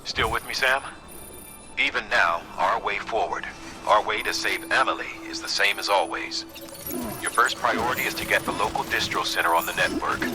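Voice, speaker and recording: A low-voiced commander in a game played by a man, steady and authoritative as he briefs his operative. The voice rings with long reverb, set back from the mic, with music behind. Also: recorded from a distance, lightly acted. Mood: firm, reassuring. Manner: measured, instructive. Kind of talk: video game mission briefing.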